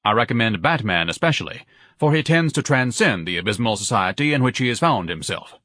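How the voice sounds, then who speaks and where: A man's voice: medium pitch, sing-song, brisk, sharp tone, no room sound, studio quality. A man voicing a pompous, bombastic scholar with a solemn, orator-like way of talking and florid diction, in a storybook narration. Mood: solemn, lecturing. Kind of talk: audiobook character acting.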